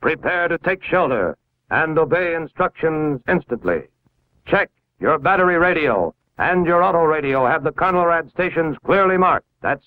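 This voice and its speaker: Formal 1950s American male film narrator, higher-pitched. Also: fairly bright tone, poor audio. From United States. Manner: formal, authoritative, instructional. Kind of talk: 1950s civil defense film narration, scripted. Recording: vintage 1950s film soundtrack.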